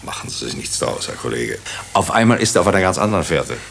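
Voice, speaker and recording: An actor playing a wry, brooding hospital doctor who tells a murder mystery in a German radio drama, in measured, introspective, clipped phrases. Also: male voice, sits low, very animated, creaky, a bit bright, slight background noise, full character voice. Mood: wry, conversational. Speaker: narrator and lead character. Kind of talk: German radio drama, first-person crime narration.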